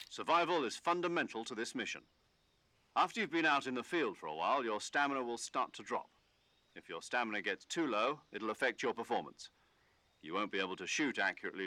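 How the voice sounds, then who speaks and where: A military commander from a video game, calm and in full authority. His voice is crisp-edged and bright, recorded very dry. He briefs in a measured, instructive way. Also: medium pitch, no room sound. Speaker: mission commander giving radio briefing. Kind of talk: scripted video game voice acting.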